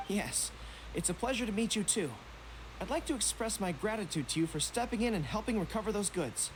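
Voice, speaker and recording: A man's voice: high-pitched, animated, brisk, background noise. A man voicing a young, courteous, dutiful general in a video game, speaking formally and seriously. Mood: courteous, concerned.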